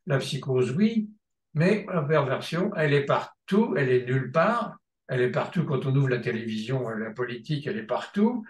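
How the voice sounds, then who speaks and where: A man lecturing at a seminar in a reverberant room, with a middle-pitched voice. He thinks aloud, restarts his phrases and pauses on filler sounds, then drives a point home by repeating it. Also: very animated. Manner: hesitant, many restarts and filler sounds, emphatic repetition. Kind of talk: spontaneous seminar teaching.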